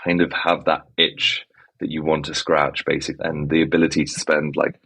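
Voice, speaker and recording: Very deep-voiced man with an English accent. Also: flat delivery, moderate pace, a bit bright, slightly rough. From Czech Republic. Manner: rambling, many fillers like "kind of" and "basically". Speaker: guest, startup founder. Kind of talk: conversational interview.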